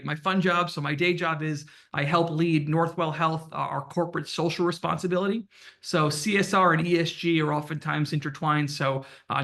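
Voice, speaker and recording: Brief: young male panelist, bright and forceful, lively self-deprecating humor. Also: on the high side. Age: young adult. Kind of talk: panel introduction. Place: campus event room.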